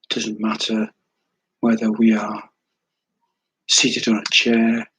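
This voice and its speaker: A man leading a guided meditation in a calm, soothing, unhurried way, with a soft, breathy voice and long pauses. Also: lowish voice, no room sound. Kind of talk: guided meditation, slow spoken instruction.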